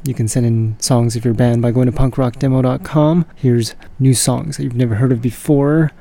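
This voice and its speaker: Radio host guy, raspy gravelly voice, kinda dark and mellow, just reading off the playlist. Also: mid-range voice, moderate pace. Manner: relaxed, rattling off song lists. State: getting raspy. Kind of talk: casual radio back-announcing.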